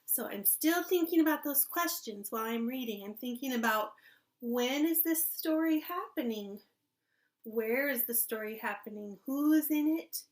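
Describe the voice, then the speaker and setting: A woman kindergarten teacher reading a storybook aloud expressively, pausing to ask young listeners questions. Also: sits high.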